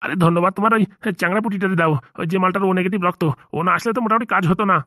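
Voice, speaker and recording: A woman's voice: mid-range voice, fairly even intonation, racing, pushed and bright, no room sound, studio quality, lightly acted. A woman playing a breezy male hospital doctor in a comedy cartoon, casual, jocular and reassuring.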